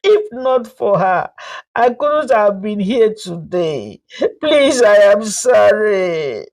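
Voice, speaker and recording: A woman's voice: very animated, takes it slowly, full character voice, rough recording. A woman voicing a weeping, remorseful older mother-in-law who confesses and begs. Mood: confessing tearfully. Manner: weeping, pleading, raised pitch.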